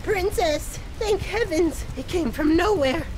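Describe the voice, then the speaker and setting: Woman voicing a high, panicky koala guard. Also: expressive, slow, darkish tone, soft background music, full character voice. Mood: panicked. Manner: panicky, urgent. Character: frightened koala guard, flustered and deferential. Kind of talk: scripted comic dub acting.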